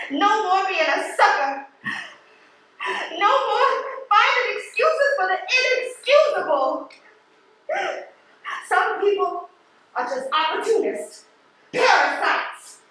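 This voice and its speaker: Stage drama, female: fed-up, indignant supervisor, emphatic and drawn-out, distant and very echoey. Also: extremely high pitch, very animated, bright, forceful tone, heavily acted. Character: bitter, fed-up female post office supervisor, betrayed and indignant. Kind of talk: stage play acting. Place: theatre stage, recorded from afar.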